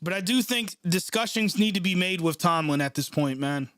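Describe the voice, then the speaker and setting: A young man reacts live to a game for his stream, his nose stuffed up from a cold. His voice sits fairly high, and he vents with sarcasm and frequent swearing. The sound is dry and close. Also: animated, moderate pace, fairly bright tone, no room sound. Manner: frustrated, sarcastic, swears often. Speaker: sports channel host reacting live. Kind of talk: live play-by-play reaction stream, reading chat.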